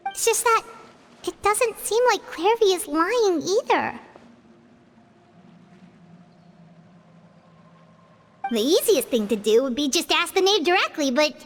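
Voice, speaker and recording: A woman's voice: very high voice, very animated, normal speed, soft background music, full character voice. A woman voicing a small, childlike fairy companion in a video game, earnest and nervous as she talks. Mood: worried. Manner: speaks of self in third person, nervous.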